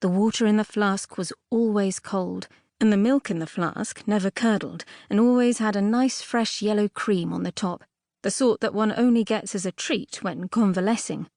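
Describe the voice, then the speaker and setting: A woman narrates a fairy tale in a very dry, close studio recording. Her voice sits at an average female pitch. She reads expressively and a touch archly, leaning on key words and pausing for drama. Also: no room sound. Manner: expressive, with dramatic emphasis and pauses. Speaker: audiobook narrator.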